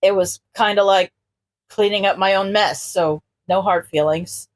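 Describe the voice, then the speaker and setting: A woman voicing a gruff, crass male superhero in a story, blunt and casual. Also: mid-range voice, fairly even intonation, a little slow, voice pushed down, close to an everyday voice. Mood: gruff, dismissive.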